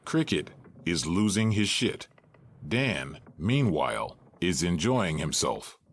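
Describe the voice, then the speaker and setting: A man narrating a scripted audio drama in a measured, deliberate way. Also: deep voice, very animated, breathy edge.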